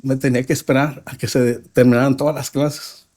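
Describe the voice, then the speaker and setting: A man from Mexico with a Mexican accent, a podcast guest telling his life story in a reflective, halting way with frequent pauses. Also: medium pitch, flat delivery, a little mellow. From Mexico (Nuevo Laredo). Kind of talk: personal testimony in a podcast interview.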